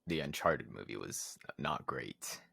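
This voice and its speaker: A young man with a low, forceful voice, recorded close and dry. He chats casually as a podcast co-host. His remarks come out wry and punchy. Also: animated, measured pace, creaky, husky, no echo. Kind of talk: casual chat.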